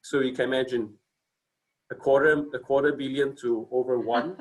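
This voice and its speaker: A man's voice: medium pitch, fairly even intonation, moderate pace, low-quality recording. A man in his forties from Singapore, giving a casual company presentation on a video call, hesitant with many fillers and restarts.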